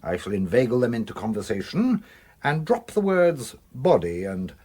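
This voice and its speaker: An actor playing a sensible, hard-working police detective in a radio drama, dry in manner, with an English accent. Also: male voice, medium pitch, big pitch swings, moderate pace, a little mellow, breathy edge. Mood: businesslike.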